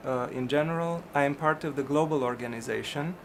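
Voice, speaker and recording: Hesitant gravelly man. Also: fairly high pitch, fairly quick, a bit bright, slight background noise. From Romania. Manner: hesitant, many ums and restarts. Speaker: physician. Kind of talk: career interview answers.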